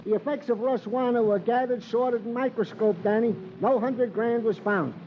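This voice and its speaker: An actor playing an excitable police sergeant, the comic sidekick in a 1950s radio crime drama, with flowery, mock-formal, theatrical speech. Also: male voice, very high voice, moderate pace. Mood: gleeful, pompous. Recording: muffled, faintly noisy old radio recording.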